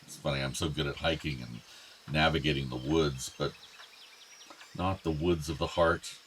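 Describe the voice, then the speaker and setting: A man's voice: very deep voice, moderate pace, fairly bright tone, slight background noise. A man acting a meek, regretful older father in a naturalistic radio play, apologetic and hesitant. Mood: wistful, concerned. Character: regretful, meek father of two grown sons.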